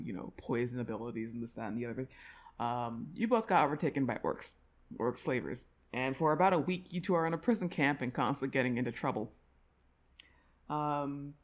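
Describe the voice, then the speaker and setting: A woman chatting casually to her listeners as an audio creator, in an informal, giggly, rambling way. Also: a bass voice. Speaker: audio roleplay creator addressing subscribers.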